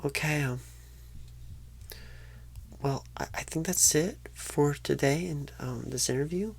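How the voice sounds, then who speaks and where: Casting: female performer as bashful rookie recruiter for ASMR roleplay; low, slow, creaky, awkward and stammering. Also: full character voice. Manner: halting, stammering, apologetic, awkward. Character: painfully shy, nervous, inexperienced job recruiter on first day. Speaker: job interviewer. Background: computer keyboard typing.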